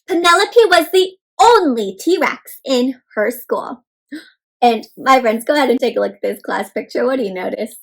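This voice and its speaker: A woman leading an interactive children's read-aloud as a teacher, asking young listeners questions and pausing for their answers. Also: high voice, expressive, creaky, poor audio. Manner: asks listeners questions, pauses, addresses children as friends.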